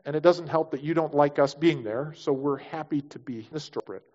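A man's voice: a little high, brisk, darkish tone, lo-fi sound. A man preaching a conversational sermon as pastor, acting out quoted voices as he teaches.

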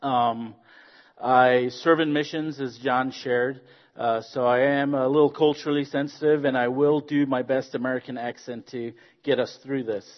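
A man from Zambia, a guest preacher giving a friendly sermon with stories, joking and pausing on fillers. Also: mid-range voice, fairly even intonation, dull audio. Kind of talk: sermon with storytelling.

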